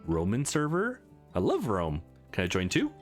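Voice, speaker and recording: A man's voice: fairly low pitch, wildly swooping pitch, normal speed, soft background music. A man voicing a friendly, eager young woman in a visual novel, chatty and upbeat.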